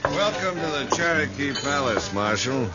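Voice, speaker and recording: An actor playing a smug saloon owner in a 1950s radio western, with a smooth, mocking way of talking. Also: male voice, medium pitch, sing-song, moderate pace, close to an everyday voice. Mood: smug mockery. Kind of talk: 1950s radio drama acting. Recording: dull old radio recording, loud music.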